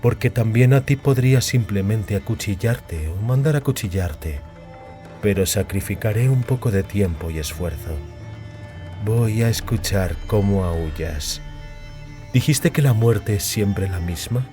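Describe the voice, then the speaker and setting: Male reader as sadistic half-elf killer, low voice, cold, deliberate, taunting, audiobook villain, music underneath. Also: close to an everyday voice. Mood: sadistic menace. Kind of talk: audiobook character reading.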